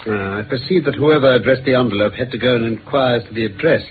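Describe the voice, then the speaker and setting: An actor playing a shrewd, self-assured English detective in a 1950s radio drama, speaking precisely and theatrically with a British accent. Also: male voice, mid-range voice, fast talker, natural voice. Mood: incisive, assured. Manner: precise, theatrical, deductive pronouncing. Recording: noisy, narrow-band old radio recording.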